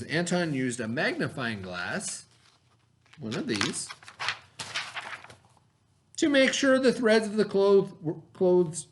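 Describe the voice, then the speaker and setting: Animated, high-pitched male teacher reading aloud. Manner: emphatic, repeats and explains key words for children. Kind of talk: expressive educational read-aloud with explanatory asides.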